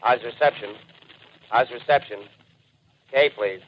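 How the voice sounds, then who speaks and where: An actor playing a lone radio operator calling out in measured, repetitive phrases, in 1930s radio acting style. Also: male voice, medium pitch, a little hiss or hum, lightly acted. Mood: lonely, searching. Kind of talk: 1930s radio acting, shortwave call signs. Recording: dull, muffled 1930s radio recording.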